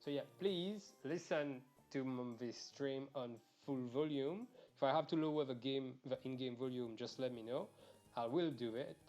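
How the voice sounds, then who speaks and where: A man's voice: medium pitch, moderate pace, darkish tone, soft background music. A young man chatting casually to viewers while live-streaming a game, rambling and swearing freely, recorded through an earphone mic at home. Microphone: earphones mic, quiet and low in level.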